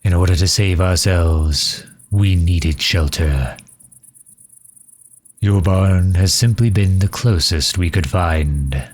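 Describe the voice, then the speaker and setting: Centuries-old vampire leader, male actor, audio roleplay: very low, unhurried, formal, solemn, dignified. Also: a little mellow. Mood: weary, grave. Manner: formal, measured, grave. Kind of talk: scripted ASMR roleplay monologue.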